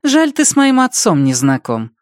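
Audiobook role: mouthy young woman, played by a male narrator, much higher pitch, sarcastic and defiant. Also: huge pitch swings, normal speed, dry recording, clean studio sound. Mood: sarcastic defiance. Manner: insolent, dismissive.

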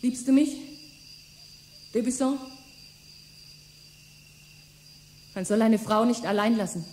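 A woman's voice: a little high, moderate pace, darkish tone, a little hiss or hum, close to an everyday voice. An actress voicing a bitter, seductive woman who wants her lost lover back, speaking in intimate whispers. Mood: pleading.

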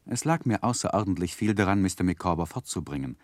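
A gentleman looking back on his youth as narrator of a radio play. His low voice moves expressively through literary prose, wry and reflective, in a dry studio sound. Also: lively intonation, fast, no echo. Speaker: first-person narrator.

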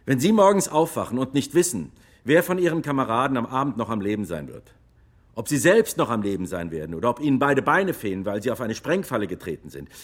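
An actor playing a retired, war-weary army officer who testifies gravely and emphatically in a courtroom radio drama. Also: male voice, medium pitch, very animated, fast talker. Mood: intense, insistent.

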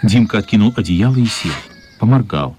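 A man's voice: fairly low pitch, expressive, brisk, noisy background. A man narrating a literary radio play as a scripted storyteller.

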